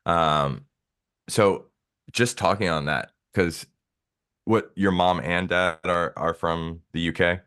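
A man's voice: low voice, steady tone, slow pace, a bit bright, smooth. A man hosting a podcast, reading a scripted intro with a dry, self-deprecating joke and then asking questions casually. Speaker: podcast host.

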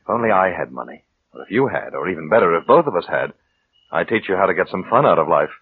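An actor playing a flattering, scheming suitor with an ingratiating, insinuating manner in mid-century radio drama. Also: male voice, low-pitched, quick pace, breathy edge, phone-quality sound, heavily acted. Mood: seductive. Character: smooth-talking, scheming, flattering suitor and weak writer. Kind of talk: 1940s-50s radio drama acting. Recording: band-limited old radio broadcast.

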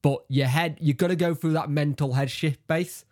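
A man's voice: a little high, fairly even intonation, normal speed, darkish tone. An English man in casual podcast chat, self-mocking and acting out his own thoughts. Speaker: podcast co-host.

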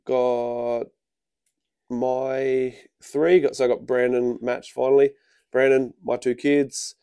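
A man's voice: medium pitch, flat delivery, slow pace, warm tone, clear, resonant tone. An Australian man who runs a Muay Thai gym, guesting on a podcast and talking bluntly and offhandedly. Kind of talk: casual chat.

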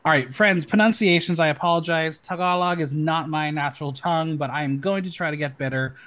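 A man hosting a casual podcast, chatty and excitable, rattling off news with lots of ums. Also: a little high, fairly quick. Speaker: podcast host.

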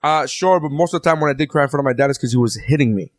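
Young guy, mid-pitch, rambling profane storyteller, dry close sound. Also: rapid-fire, no room sound. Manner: rambling, heavy swearing, animated retelling. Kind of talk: casual profane chat.

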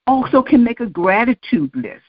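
A woman's voice: fairly high pitch, sing-song, moderate pace, highs cut off. A woman hosting a podcast, affirming her guest and reading out points to her listeners. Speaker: podcast host. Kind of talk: casual podcast talk.

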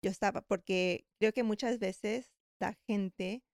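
A woman's voice: medium pitch, takes it slowly, darkish tone, no room sound, studio quality. A woman hosting a podcast, reacting eagerly and telling stories while mixing Spanish and English. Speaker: host. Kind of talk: casual bilingual conversation.

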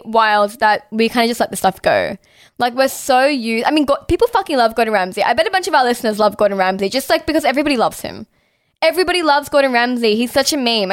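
Young australian woman, outspoken, casual podcast chat, fairly high pitch, dry close sound. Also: no room sound. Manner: outspoken, heavy use of "like", swears freely. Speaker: podcast co-host.

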